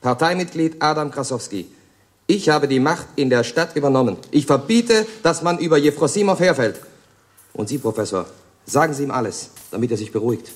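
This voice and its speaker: A man's voice: medium pitch, lively intonation, brisk, close to an everyday voice. An actor in a German radio play playing a steadfast party man who takes command, firm and sober in delivery.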